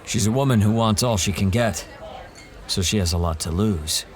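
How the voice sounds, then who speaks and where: An actor playing a wry, self-assured monster hunter in an audio drama, calm and measured. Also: male voice, lowish voice, close to an everyday voice.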